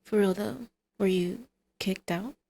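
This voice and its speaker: A woman's voice: mid-range voice, unhurried, vocal fry, dark tone. Woman acting a smug, teasing female villain. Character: brazen female supervillain, teasing, combative, scheming mother. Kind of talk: ASMR roleplay acting.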